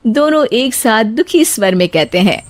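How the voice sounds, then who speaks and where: A woman's voice: on the high side, lively intonation, normal speed. A woman narrating a scripted moral story as a storyteller.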